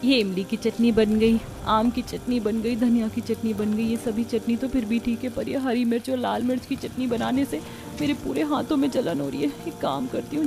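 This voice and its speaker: High-voiced, put-upon young daughter-in-law played by a woman. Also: vocal fry. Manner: plaintive, polite to elders. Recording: music behind the voice.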